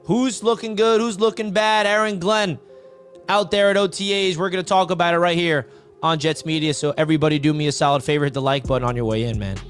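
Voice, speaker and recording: A young man hosting a live sports talk stream, his voice raspy and worn from yelling, talking in a hyped, casual way and often tossing in "man.". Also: fairly high pitch, steady tone, fast. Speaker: sports podcast host. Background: soft background music.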